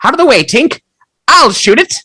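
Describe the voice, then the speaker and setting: Woman playing an earnest, very expressive young boy. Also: high-pitched, moderate pace, dry recording, full character voice. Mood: urgent, excited. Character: naive, eager little lost boy. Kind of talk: audio play acting.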